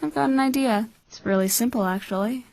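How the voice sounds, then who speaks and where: A woman's voice: fairly high pitch, creaky, a little mellow, no echo. Cynical, calculating boy, voiced by a woman, dry sound. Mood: resigned, blunt. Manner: measured, blunt, explanatory. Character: cynical, calculating twelve-year-old orphan boy, coolly bitter. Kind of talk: anime-style fan dub acting.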